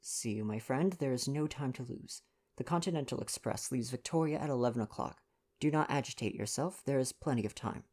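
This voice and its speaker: A man's voice: medium pitch, brisk, darkish tone, natural voice. Older Belgian detective played by a man, storybook character: vain, courteous, self-important, formal foreign turns of phrase. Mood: brisk, confident. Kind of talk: storybook dialogue reading.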